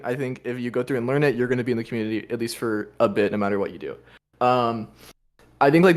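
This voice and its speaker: A young man, a cubing coach from the United States, talking casually as a guest on a podcast, with many "like"s and fillers over a video call. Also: medium pitch.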